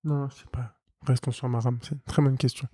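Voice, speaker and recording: A young man chatting as a guest, quick and loose, often laughing and trailing off. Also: mid-range voice, flat delivery, warm tone, husky, no echo. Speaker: guest, co-founder of a street art venue. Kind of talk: casual chat.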